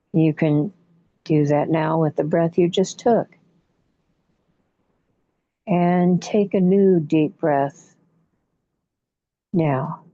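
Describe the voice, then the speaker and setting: A woman with a low, mellow voice leads a guided imagery session. She speaks very slowly and soothingly in short phrases, with long pauses between them. The recording is dull and somewhat muffled. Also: no room sound. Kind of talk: guided relaxation meditation.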